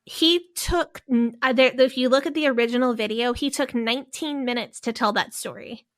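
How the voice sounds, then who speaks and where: A woman's voice: fairly high pitch, moderate pace. A woman co-hosting a podcast, telling a story in a casual, rambling way. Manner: storytelling, with frequent fillers and restarts. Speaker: podcast co-host.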